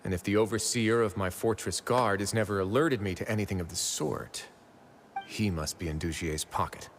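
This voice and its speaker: An actor voicing a stern, calculating prison overseer in a video game, speaking in a deliberate, formal and authoritative way. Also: male voice, lowish voice, slight background noise, close to an everyday voice. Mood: grave, measured. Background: game music.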